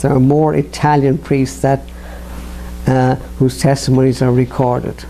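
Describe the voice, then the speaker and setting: A man hosting an evangelical program, speaking in an unhurried, earnest way with fillers and restarts. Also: mid-range voice, warm tone, slight background noise, rough recording. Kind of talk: slow unscripted radio-style talk.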